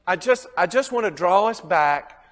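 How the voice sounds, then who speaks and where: A man's voice: high-pitched, animated, moderate pace, fairly bright tone, in a large hall. A man with a Southern US accent teaching a Bible class, chuckling often and quizzing his students.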